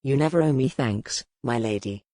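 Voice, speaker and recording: Woman voicing a low-pitched, commanding medieval duke. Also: dark tone, no room sound, studio quality. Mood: stern, formal. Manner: formal, terse, measured courtly phrasing. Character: gruff commanding medieval duke, a stern male warrior. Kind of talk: audiobook character dialogue in historical romance.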